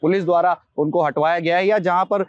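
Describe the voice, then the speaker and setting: Male field reporter, higher-pitched, hesitant delivery, muffled lo-fi and dry sound. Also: fairly even intonation, clean tone, no room sound. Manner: halting, with drawn-out hesitations. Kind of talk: unscripted on-location news report. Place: on location outside a mosque. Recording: dull, muffled lo-fi field audio.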